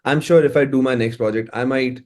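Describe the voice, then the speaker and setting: A man's voice: mid-range voice, steady tone, a little fast, a little mellow. Indian man chatting casually about his work. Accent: Indian English. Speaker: music producer and musician being interviewed. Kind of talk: casual conversational interview.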